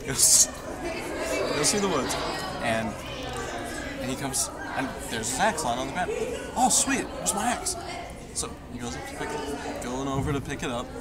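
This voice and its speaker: A man's voice: mid-range voice, normal speed, long pauses. A young man casually improvising a story for friends, informal and easily sidetracked by his listeners. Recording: noisy roomy space with loud chatter.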